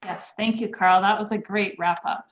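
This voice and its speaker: A woman's voice: medium pitch, steady tone, normal speed, lo-fi sound. A woman hosting a club meeting, thanking speakers and moving through the agenda in a friendly way. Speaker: meeting host.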